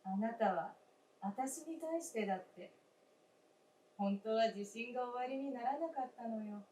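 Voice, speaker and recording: An actress playing the gentle, wistful spirit of a dead wife in a staged radio drama, with polite, old-fashioned feminine speech and deliberate phrasing. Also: female voice, on the high side, lightly acted. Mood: frank, reproving. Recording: roomy stage, distant mic, faint noise.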